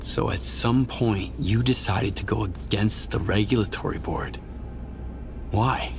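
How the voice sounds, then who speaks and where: A gravelly, guarded mercenary. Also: male voice, on the low side, a little slow, over music. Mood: wary, questioning. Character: wary, questioning male stalker mercenary. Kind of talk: scripted video game cutscene acting.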